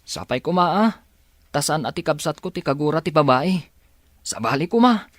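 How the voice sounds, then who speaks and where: A man's voice: on the high side, lively intonation, moderate pace, dry recording, clean studio sound, lightly acted. An actor playing a concerned young man, a dutiful son, questioning and earnest in a radio drama.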